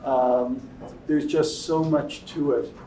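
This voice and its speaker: A man's voice: medium pitch, steady tone, slow, dark tone, a little hiss or hum. Older man, panelist doctor, earnest, reflective, unscripted, distant mic, echoey room. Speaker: panelist, pediatric hematologist and researcher. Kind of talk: unscripted panel self-introduction. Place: indoor event venue, panel talk.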